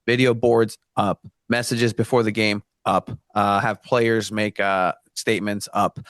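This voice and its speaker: A man's voice: fairly low pitch, level tone, unhurried, a bit bright, dry recording, studio quality. A man from Mexico, Spanish his first language, speaking earnestly as a podcast guest, with many restarts. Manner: earnest, frequent restarts and fillers. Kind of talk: casual podcast discussion.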